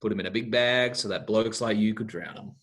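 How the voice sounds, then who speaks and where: A man's voice: on the low side, steady tone, brisk, fairly bright tone, natural voice. An actor playing a bitter, hostile young man, mocking and contemptuous. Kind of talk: broadcast drama acting.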